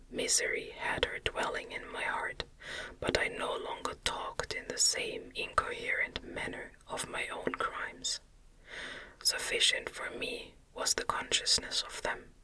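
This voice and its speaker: A woman reading a gothic novel aloud as ASMR in a gentle, soothing, breathy whisper. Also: takes it slowly. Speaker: audiobook reader.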